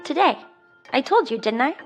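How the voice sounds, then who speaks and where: Eager fifteen-year-old girl, higher pitch, polite and spirited, dramatized scene with music. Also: expressive, normal speed. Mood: excited. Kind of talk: audio drama acting. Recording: music under the voice.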